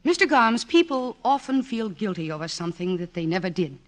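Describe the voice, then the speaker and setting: Woman acting an earnest, expressive lady psychiatrist. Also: medium pitch, fairly quick, lightly acted. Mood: clinical, reassuring. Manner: precise, formal, earnest. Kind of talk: 1940s radio drama acting. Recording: old radio broadcast, music behind.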